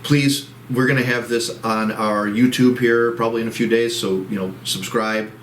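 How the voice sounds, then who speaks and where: A man's voice: mid-range voice, fairly even intonation, normal speed, far from the mic, a little hiss or hum. A man co-hosting a casual chat show, rambling with many restarts, his voice soothing. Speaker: co-host. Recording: echoey room, off-mic.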